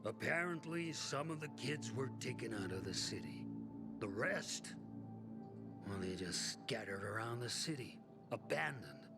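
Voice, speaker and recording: Game role: jaded middle-aged survivor, resigned, bitter, very slow with heavy pauses. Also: male voice, mid-range voice, animated. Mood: grim, brooding. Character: world-weary, resigned male survivor in a plague-walled city. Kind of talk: game cutscene acting. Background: music.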